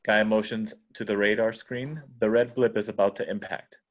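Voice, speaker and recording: A man's voice: fairly low pitch, fairly even intonation, moderate pace. A man narrating screenplay action lines at a table read. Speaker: reader of stage directions.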